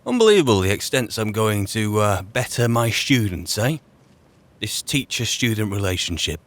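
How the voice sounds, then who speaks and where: A man's voice: lowish voice, sing-song, normal speed. A man playing a weary, grumbling wandering mage, musing and muttering drily in an in-character video game roleplay. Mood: dry, irritated. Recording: faint game ambience noise.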